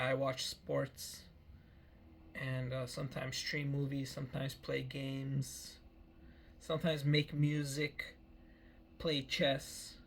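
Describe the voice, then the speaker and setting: A man's voice: mid-range voice, vocal fry, a little hiss or hum. A man in his early thirties giving a meandering personal life update, often repeating himself and restarting phrases. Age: 30s. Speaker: vlogger.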